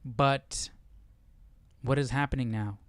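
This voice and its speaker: A man's voice: mid-range voice, steady tone, slow, no echo. A man hosting a Bible study, talking casually through the text and often checking in with tag questions. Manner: conversational, seeks agreement with tag questions. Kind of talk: casual Bible study commentary with verse reading.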